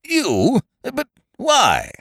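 A man's voice: low-pitched, sing-song, moderate pace, no echo, clean studio sound. A man voicing a distraught English nobleman in an audiobook, spluttering and exclamatory in manner. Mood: spluttering disbelief. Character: haughty English lord, distraught and easily astonished.

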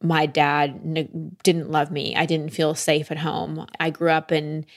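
A woman who hosts a podcast and coaches people, with a voice a little lower than most. She talks in a gentle, reflective way and affirms her guest. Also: little pitch movement, measured pace. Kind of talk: empathetic interview.

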